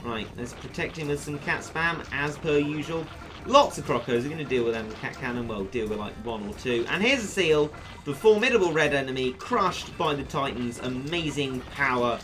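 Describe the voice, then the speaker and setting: A man giving upbeat, joking commentary over a mobile game, his voice going a little hoarse. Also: mid-range voice, lively intonation, moderate pace, low-quality recording. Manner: chatty, upbeat, self-deprecating jokes. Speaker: gaming YouTuber. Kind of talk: let's play commentary.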